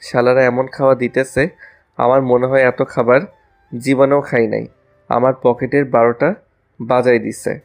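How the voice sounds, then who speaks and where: A man's voice: mid-range voice, flat delivery, moderate pace, vocal fry, long pauses, faint music behind, close to an everyday voice. A man playing a lovestruck, casual young college student in a romantic audio story. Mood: grumbling.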